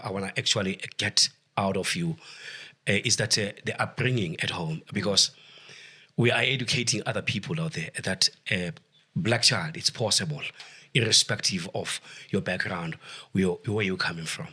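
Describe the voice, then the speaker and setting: A man's voice: sits low, moderate pace, sharp tone, breathy edge. A man hosting a studio sports interview, with many fillers and short okays. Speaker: show host.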